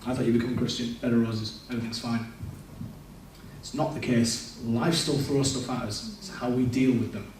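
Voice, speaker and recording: A man's voice: mid-range voice, steady tone, moderate pace, a little mellow, a little hiss or hum. A young man giving a candid, self-deprecating personal testimony in a church, with frequent restarts. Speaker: church speaker. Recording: echoey church hall, mic far off.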